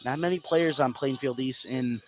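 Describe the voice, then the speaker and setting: A man's voice: medium pitch, moderate pace. A man giving color analysis during a live basketball radio broadcast. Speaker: color commentator. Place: indoor basketball gym, live game. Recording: noisy live gym broadcast.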